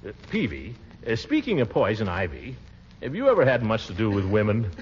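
An actor playing a pompous, flustered middle-aged uncle in 1940s radio comedy style, blustery and full of hemming. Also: male voice, on the low side, wildly swooping pitch, brisk. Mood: puzzled. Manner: blustery, hesitant with frequent hemming. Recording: dull, noisy old radio recording.

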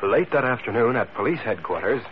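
A man's voice: medium pitch, animated, brisk. A man giving formal, dramatic scripted narration in the manner of a 1940s radio drama. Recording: dull, noisy old radio recording.

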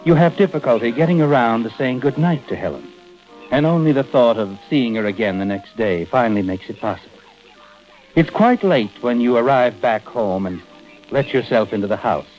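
An actor playing a sinister, all-knowing narrator, ominous and insinuating, in 1940s radio mystery style. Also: male voice, mid-range voice, normal speed, a little mellow, lightly acted. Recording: dull old radio recording, music behind.

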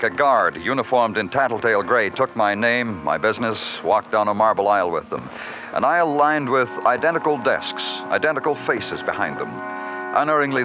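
An actor playing a police detective narrating his case in a clipped, wry, deliberate way, in an old radio crime drama. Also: fairly low pitch, lightly acted. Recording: muffled old radio recording with music.

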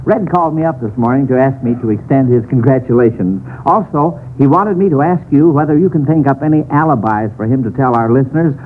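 An American man hosting a 1940s radio quiz show with formal, measured announcing. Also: medium pitch. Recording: tinny, noisy old radio broadcast.

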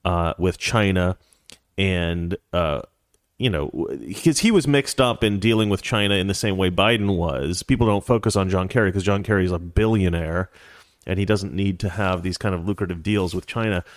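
A man's voice: deep voice, normal speed, dry recording, studio quality. A man hosting a casual commentary show, rambling and opinionated with a joking edge. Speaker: show host.

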